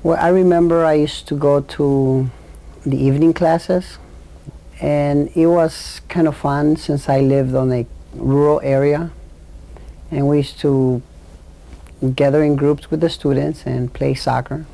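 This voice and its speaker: A man with a Mexican Spanish accent talks in a gravelly, dark-toned voice. He keeps his answers plain and brief. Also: fairly high pitch, fairly even intonation, unhurried. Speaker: interviewee.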